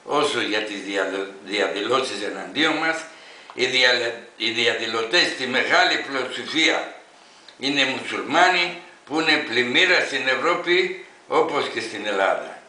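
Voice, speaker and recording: A man in his eighties from Greece reading an open letter aloud in an emphatic, declamatory way, with deliberate phrasing. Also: mid-range voice, expressive, bright, forceful tone, roomy sound, low-quality recording. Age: 80s.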